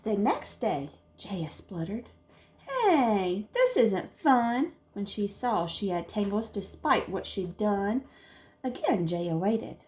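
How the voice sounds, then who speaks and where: A woman reads a children's story aloud in the manner of a primary teacher. Her delivery is unhurried and expressive, with animated intonation, and she explains things simply for young listeners. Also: medium pitch. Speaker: second-grade teacher.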